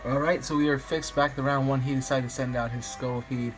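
A slightly raspy young man, a bit sick, commentating his online game battle in a rambling, hesitant way with many ums. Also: mid-range voice, fairly even intonation, a little fast. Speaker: gaming YouTuber. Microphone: phone filming a screen. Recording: music playing behind.

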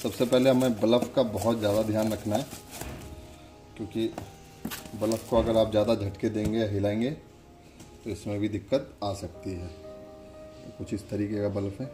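A man's voice: fairly low pitch, fairly even intonation, moderate pace, long pauses, over music. A man narrating a casual, step-by-step product demonstration in an instructional way, pointing things out as he goes. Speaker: product demonstrator and channel host.

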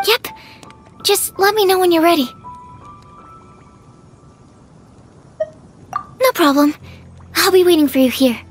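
A woman's voice: extremely high pitch, lively intonation, fairly quick, soft background music. A woman voicing an earnest, polite, bookish young alchemist in a video game, explaining things carefully and precisely. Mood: encouraging. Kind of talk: video game dialogue acting.

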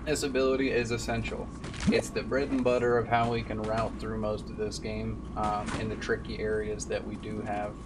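A man's voice: on the low side, level tone, normal speed. A man in his twenties giving casual live commentary as he streams a game run. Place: indoor room at a streaming desk. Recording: distant mic, game noise behind. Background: game sound and music.

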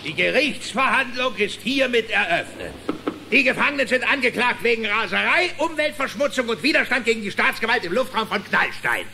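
An actor playing a pompous, greedy small-town sheriff who acts as judge in a children's cartoon radio play. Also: male voice, very high-pitched, lively intonation, a little fast, sharp tone, slight background noise, full character voice. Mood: bossy, pompous. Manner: pompous, domineering. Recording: echoey hall reverb, faint music.

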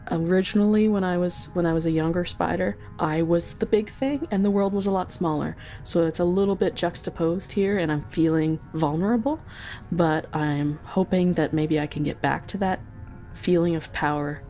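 A woman's voice: on the low side, moderate pace, warm tone, soft background music. A woman narrating her character's moves casually as a guest player in a tabletop game.

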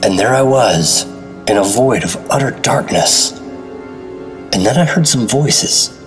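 An actor playing a hard-boiled special agent narrating a retro radio noir in a husky voice. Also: male voice, medium pitch, lively intonation, takes it slowly, over music. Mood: grim, brooding. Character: hard-boiled male special agent recounting his ordeal.

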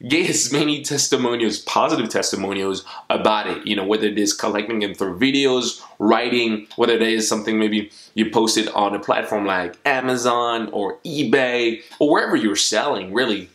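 A young man from the Democratic Republic of Congo gives upbeat, motivating advice directly to his viewers. His voice sits a little low. It was recorded in a small room at home with noticeable echo. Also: moderate pace, hardly any pauses, fairly bright tone. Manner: upbeat, encouraging, speaks straight to the viewer. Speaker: motivational YouTuber. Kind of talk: direct-to-camera advice vlog.

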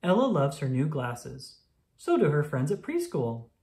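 A woman reading a children's picture book aloud, expressively and clearly paced for young listeners. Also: low-pitched, wildly swooping pitch, a little slow, warm tone. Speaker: storybook reader. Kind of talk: children's read-aloud narration.

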